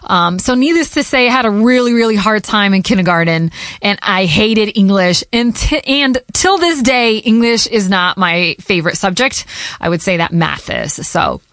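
A woman's voice: mid-range voice, animated, normal speed, a bit bright, no room sound, studio quality. A woman in her thirties from Connecticut, a radio host whose first language is Portuguese, chatting casually with listeners and answering their questions, with a loose manner and laughs between thoughts. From Connecticut, USA.

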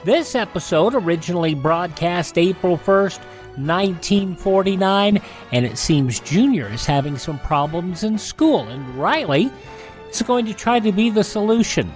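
A male radio host with a fairly high, very animated voice. He narrates in a folksy style from a dry studio, with light music behind. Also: a little slow.

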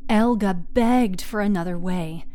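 A woman storyteller reading dramatic scripted narration in a deliberate, halting way, lingering on short phrases. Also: medium pitch, warm tone. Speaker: storyteller, narrator of a serial fiction podcast. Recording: music under the voice. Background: music.

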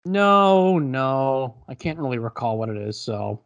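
A man joking around as a podcast guest, tossing out deadpan quips. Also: medium pitch, a little slow. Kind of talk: casual podcast chat.

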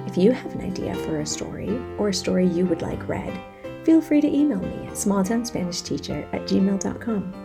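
A woman's voice: medium pitch, expressive, normal speed, creaky. A woman host reading a scripted closing announcement in a plain, friendly way. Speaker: podcast host. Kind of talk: scripted outro. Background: guitar music.

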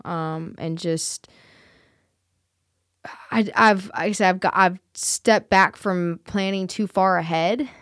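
A woman's voice: fairly low pitch, steady tone, slow pace, pauses often, a bit bright, clear, resonant tone, dry recording, studio quality. A woman singer chatting as an interview guest, earnest and candid, with plenty of fillers and restarts. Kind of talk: casual interview chat.

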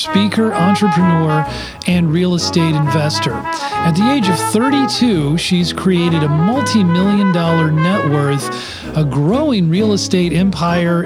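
A man co-hosting a podcast, reading a scripted introduction and then asking a halting, measured question. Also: on the high side. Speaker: podcast co-host.

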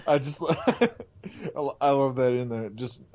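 A man's voice: medium pitch, animated, slow, darkish tone. Giggly man with a Minnesota accent. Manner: giggly, laughs mid-sentence. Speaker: podcast co-host. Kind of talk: casual chat. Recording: dull lo-fi audio.

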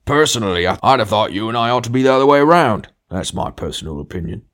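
A male narrator puts on a big, blustering working-class ghost for a storybook. He is sulky, self-righteous and forever arguing, pushed up higher and louder than plain narration, with indignant demands punctuating his speech. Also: fairly quick, lightly acted. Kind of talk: literary audiobook character dialogue.

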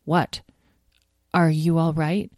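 A mother dragon in a storybook, voiced by a woman, caring and worried. Her voice is a little low and dry, gentle but firm. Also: level tone, slow, no room sound. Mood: concerned. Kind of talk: children's storybook character voice.

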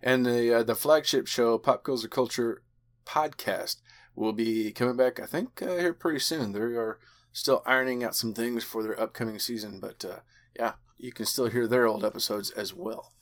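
A man's voice: mid-range voice, moderate pace. A man hosting a podcast in a chatty, enthusiastic way. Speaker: podcast host.

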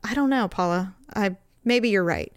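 A middle-aged woman, slightly hoarse, telling a story in casual, animated chat and laughing often. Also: medium pitch, normal speed, no echo. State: hoarse, recovering voice.

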